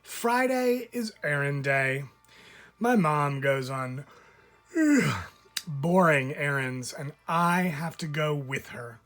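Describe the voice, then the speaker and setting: A man, a teacher, reading a children's picture book aloud with lively, expressive storytelling. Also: fairly high pitch, takes it slowly.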